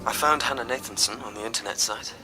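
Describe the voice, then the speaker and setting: An actor playing a persistent, teasing colleague in a radio drama. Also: male voice, mid-range voice, fast talker, music in the background, natural voice, rough recording. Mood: casual, probing.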